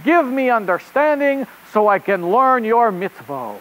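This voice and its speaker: A performer voicing an excitable, easily flustered rabbit reporter puppet in a children's show, with a theatrical delivery and a put-on French accent. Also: medium pitch, a little slow, low-quality recording. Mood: earnest. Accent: fake French. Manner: theatrical, exclamatory.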